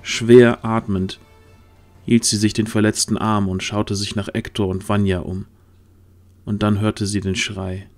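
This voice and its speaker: A man's voice: on the low side, flat delivery, unhurried, darkish tone. A man as narrator, reading a scripted fantasy story in a dramatic storytelling style. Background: background music.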